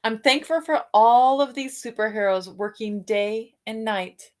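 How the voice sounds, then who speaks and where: Slow-paced woman reading to children. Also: on the high side. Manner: expressive reading, alternating with softer musing asides and hums. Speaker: read-aloud storyteller for children. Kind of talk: picture-book read-aloud with questions to young listeners.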